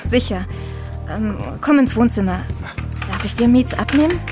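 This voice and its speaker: A woman's voice: a little high, lively intonation, normal speed, over music, lightly acted. An actress playing a friendly young woman in a German audio drama. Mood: surprised, friendly. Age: young adult. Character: friendly, surprised young party girl.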